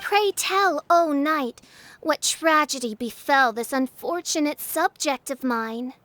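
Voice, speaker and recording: A woman's voice: sits high, takes it slowly, fairly bright tone, slight background noise. A woman voicing a grandiose young self-proclaimed princess who speaks in theatrical, archaic phrases. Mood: haughty. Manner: theatrical, archaic and pompous speech. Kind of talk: video game voice acting.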